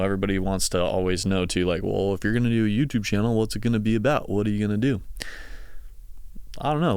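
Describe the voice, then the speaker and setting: A man's voice: sits low, gravelly, clean tone, no echo. A man in his thirties talking casually and reflectively on a solo podcast, in a rambling, conversational way. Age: 30s. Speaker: podcast host, session guitarist.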